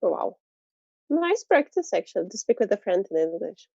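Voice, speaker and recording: A woman hosting a video call as a teacher, giving quick, encouraging replies. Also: mid-range voice, big pitch swings, vocal fry, mellow, no room sound. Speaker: host teacher. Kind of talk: casual video-call chat. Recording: muffled video-call audio.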